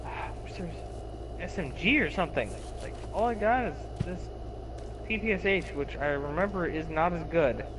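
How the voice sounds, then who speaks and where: A man with a lisp chatting excitedly while gaming, cursing and yelping at what happens on screen. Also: on the high side, moderate pace, background noise. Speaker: gamer. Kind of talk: excited gameplay chat.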